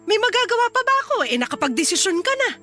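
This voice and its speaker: High, animated exasperated young wife. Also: female voice, rapid-fire, exaggerated voice. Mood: exasperated, reproachful. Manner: expressive, emphatic, naggingly questioning. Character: exasperated young wife and mother, teasing then reproachful. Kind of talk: radio drama acting in dialogue. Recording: music under the voice. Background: background music.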